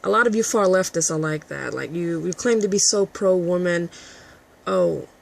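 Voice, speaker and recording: Raspy, husky woman ranting. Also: fairly low pitch, normal speed. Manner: combative, profane ranting. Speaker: vlogger. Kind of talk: addressing a critic to camera.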